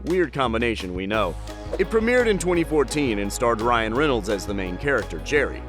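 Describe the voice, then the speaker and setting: Animated man narrating a list video. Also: medium pitch, fairly quick, music in the background. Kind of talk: scripted pop-culture list narration.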